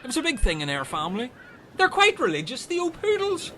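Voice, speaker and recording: A man's voice: very high-pitched, wildly swooping pitch, fast talker, sharp tone, a little hiss or hum, full character voice. A man playing a dim, chatty, earnest Irish castaway in a comedy radio play, rambling and taking things literally. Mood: chatty, matter-of-fact.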